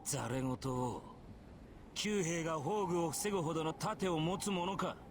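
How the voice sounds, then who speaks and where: An actor playing a rough, hot-tempered, proud spearman in a Japanese visual novel, coarse and confrontational in speech. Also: a little high, fairly quick, noisy background, close to an everyday voice. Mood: angry, suspicious.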